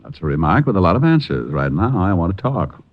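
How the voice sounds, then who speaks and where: An actor playing a wry, glib private detective in an old-time radio drama. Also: male voice, deep voice, big pitch swings, fairly quick, no echo. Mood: flustered. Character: wisecracking, easily flustered male private detective. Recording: muffled old radio recording.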